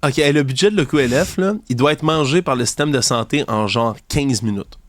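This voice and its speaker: A man's voice: a little fast, no room sound. A man with a Québécois accent hosting a commentary show, ranting with indignation and sarcasm about a news story. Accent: Québécois French. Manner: indignant, ranting, sarcastic, mocks opponents in high voices. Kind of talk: heated opinion commentary.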